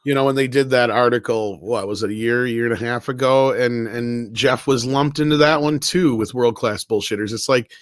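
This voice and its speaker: A man's voice: mid-range voice, fairly even intonation, normal speed, hardly any pauses, no room sound. A man hosting a casual panel chat in an informal, rambling way with some swearing. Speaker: panel show host.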